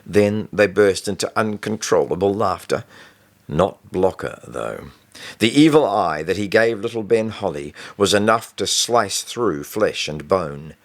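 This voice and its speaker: A man's voice: sits low, expressive, measured pace. A man reading a first-person story as a scripted audiobook narration. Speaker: author reading own novel.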